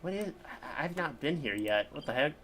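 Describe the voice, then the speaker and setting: A man livestreaming a game, chatting casually in an informal, halting, rambling way. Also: fairly high pitch, animated, fairly bright tone, slight background noise. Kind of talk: casual chat while gaming. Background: game music.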